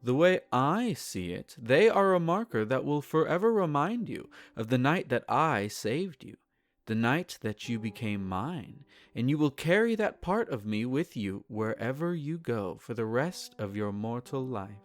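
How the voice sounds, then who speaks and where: Man voicing a courtly vampire master, slow, swooping intonation, intimate, teasing, possessive, formal phrasing, roleplay audio with music behind. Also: mid-range voice, big pitch swings. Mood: tender, possessive. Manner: formal, teasing, savouring phrasing with sighs and soft chuckles. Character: possessive, courtly male vampire lord doting on captive pet. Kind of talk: intimate roleplay audio acting, partly whispered.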